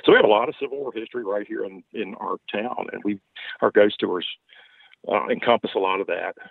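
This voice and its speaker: A man's voice: fairly low pitch, brisk, gravelly, dry recording. An older man from Baltimore with a light Southern accent, owner of a ghost tour business, talking in a folksy, rambling way with frequent chuckles. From Baltimore, Maryland. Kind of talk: podcast interview over a call. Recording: tinny phone-like line.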